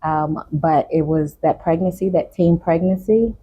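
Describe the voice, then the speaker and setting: A woman's voice: low-pitched, measured pace, warm tone. A woman guest on a talk show, telling her personal story in a reflective, candid way. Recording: dull sound with background noise.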